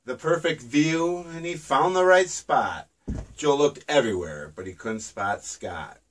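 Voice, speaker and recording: A man's voice: a little high, animated, unhurried, a bit bright, distant mic. A man reading his own rhyming children's book aloud in a sing-song storybook manner.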